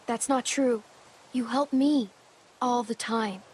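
A woman voicing a gentle, brave, sincere young girl survivor with a husky voice in a video game drama. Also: fairly high pitch, slow pace. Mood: concerned.

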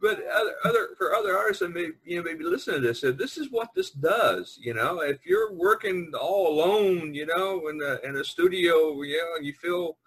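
A middle-aged man hosting a casual group chat over an online call, talking in a rambling, halting way and repeating himself. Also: fairly high pitch, animated, a bit bright, slight background noise, rough recording. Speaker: podcast host. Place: online video conference call.

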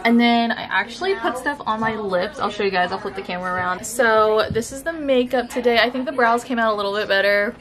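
A woman's voice: on the high side, fairly quick, few pauses, a crowd in the background. A young woman in her twenties, chatting upbeat to a handheld camera as a vlogger, with lots of likes and ums. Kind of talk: casual vlog chat to camera. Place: hotel room, cafe and thrift store. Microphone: handheld camera mic.

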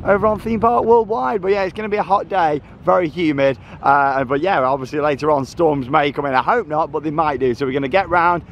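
The chatty, higher-voiced British man you'd hear filming a road trip vlog over plenty of background noise. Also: brisk, hardly any pauses, dull audio. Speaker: travel vlogger. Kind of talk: casual vlog narration. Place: varies: open car park, moving car, hotel room. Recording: clear background noise, car and outdoors.

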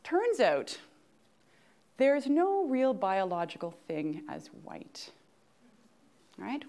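A woman in her forties with a Canadian accent, giving an interactive lecture on an auditorium stage and often checking in with the audience. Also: on the high side. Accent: Canadian English. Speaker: guest speaker at grand rounds.

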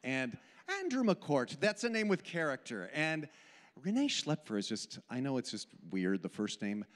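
An older man from Switzerland, Swiss German his first language, giving a humorous sermon with animated, self-deprecating storytelling into a handheld mic on an indoor stage. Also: mid-range voice, normal speed. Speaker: camp speaker. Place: indoor hall stage with audience. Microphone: handheld microphone. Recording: long hall reverb.

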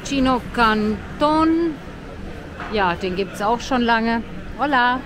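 Slow-talking woman touring a seaside promenade. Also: a little high, animated. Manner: chatty, pointing out sights. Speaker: vlogger. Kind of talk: casual walking tour narration. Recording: busy crowd noise behind. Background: crowd.

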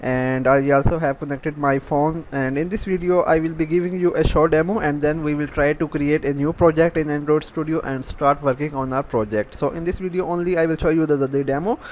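A man hosting an unscripted programming tutorial, explaining in a halting, repetitive way. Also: on the high side, fairly even intonation, slight background noise. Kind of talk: unscripted software tutorial narration.

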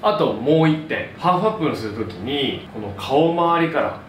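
Animated man in a roomy, distant recording. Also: a little high, moderate pace. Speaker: hairdresser presenting a tutorial. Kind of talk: instructional hair tutorial to camera. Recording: roomy echo, distant mic, background music. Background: music.

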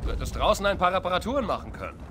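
A man's voice: high-pitched, very animated, very fast, noisy background. A man dubbing a wry young soldier son in a video game, over game music. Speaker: game character. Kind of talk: German game dub.

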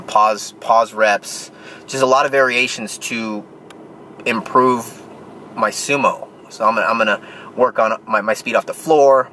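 A man's voice: lowish voice, pushed and bright, a little hiss or hum. A man in his thirties in a parked car talking casually to a handheld camera, with plenty of fillers and restarts. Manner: plain, rambling, many fillers and restarts. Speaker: vlogger, powerlifter. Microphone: handheld camera close to face.

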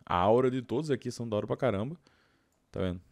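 A man's voice: mid-range voice, fast, darkish tone, dry recording. A young man with a Brazilian accent giving casual gameplay commentary, informal and slangy, thinking aloud. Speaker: gaming video host.

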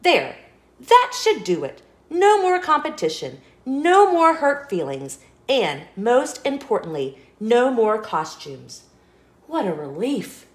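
A woman's voice: fairly high pitch, very animated, takes it slowly, roomy sound. A woman voicing a grumpy, anxious, scheming male sunflower seed in a children's storybook, with dramatic, exclamatory delivery. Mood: relieved. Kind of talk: children's storybook read-aloud.

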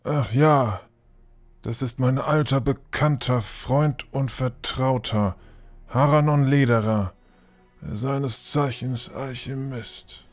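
A man playing a menacing, condescending castle lord with halting false courtesy in a fantasy audio drama. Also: mid-range voice, soft background music, close to an everyday voice. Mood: suspicious, condescending. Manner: halting, drawling false courtesy with threatening undertone. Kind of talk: fan-made fantasy audio drama acting.

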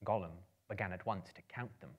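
A man in his thirties giving a theatrical, suspenseful narration of a storybook in measured phrases. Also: low-pitched, muffled recording. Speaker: narrator, dramatic reader. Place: indoor book-lined room, live audience.

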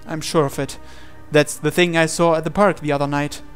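A man with a fairly high voice reads a visual novel aloud over music. His delivery is earnest and theatrical, telling the story in the first person with dramatic intensity. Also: little pitch movement, a little fast, clear, resonant tone. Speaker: let's-play reader of a visual novel.

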